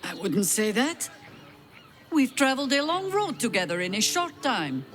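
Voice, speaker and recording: A woman's voice: a little high, big pitch swings, normal speed, a bit bright, faint music behind. A woman playing a seasoned agent in a video game, with a dry, familiar manner. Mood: dry, assured. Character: seasoned, dry-witted female Harper agent.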